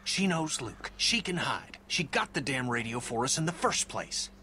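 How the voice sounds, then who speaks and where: Gruff tired dad in a game, middle aged, stubborn, forceful edge, bit of background noise. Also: male voice, medium pitch, sing-song, normal speed. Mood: firm, insistent. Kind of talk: video game voice acting.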